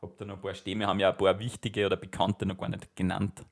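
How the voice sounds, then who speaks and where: A man's voice: low-pitched, level tone, fast, creaky. A man in his thirties with an Austrian accent, co-hosting a podcast in casual, jokey chat full of self-mockery. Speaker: podcast co-host.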